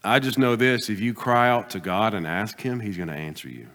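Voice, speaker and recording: A man preaching a sermon as pastor, pressing his points with rising bursts and then dropping to quiet, deliberate asides. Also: lowish voice, lively intonation. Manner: emphatic preaching, swings between loud exhortation and quiet asides. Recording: long hall reverb.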